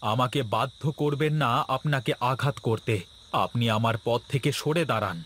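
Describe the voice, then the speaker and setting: A man's voice: mid-range voice, moderate pace, a bit bright, slight background noise, close to an everyday voice. A man voicing a vengeful, defiant disciple of a sage in a cartoon story, with a menacing, intense manner. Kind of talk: Bengali cartoon story voice acting.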